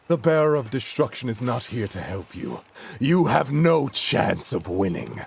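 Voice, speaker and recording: An actor playing a contemptuous otherworldly villain in a video game, with a gravelly voice and an ominous, disdainful delivery. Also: male voice, mid-range voice, big pitch swings, measured pace, slight background noise. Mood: menacing.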